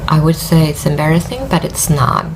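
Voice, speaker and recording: A woman's voice: deep voice, lively intonation, normal speed, vocal fry, noisy background. A tired woman from Finland with a Finnish accent and a worn voice, hosting a knitting podcast and chatting hesitantly with many fillers. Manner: hesitant, rambling with many fillers and soft laughs. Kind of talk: casual vlog chat.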